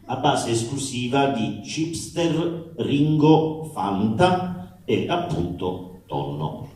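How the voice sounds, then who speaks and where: Slow, mellow man reading in an echoey hall. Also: mid-range voice. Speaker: actor reading aloud. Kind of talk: deadpan comic reading of a letter. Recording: very echoey hall, distant mic.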